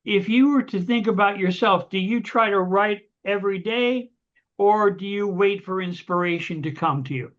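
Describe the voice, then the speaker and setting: High-voiced man host on lo-fi audio. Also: normal speed, fairly bright tone. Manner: friendly, rambling questions with restarts. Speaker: interview host. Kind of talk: casual interview questions. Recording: dull lo-fi audio.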